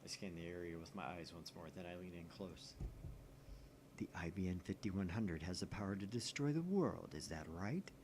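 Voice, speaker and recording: Visual novel lead, read by a man: self-important paranoid young man, low and very animated, noisy recording. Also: normal speed. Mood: conspiratorial. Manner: pompous, dramatic.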